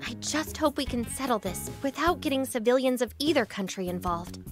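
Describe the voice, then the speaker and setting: Woman as an earnest, high-voiced young peacemaker. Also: animated, brisk, music in the background, natural voice. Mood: earnest, concerned. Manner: sincere, thoughtful. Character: earnest, peace-minded young woman, gentle but brave.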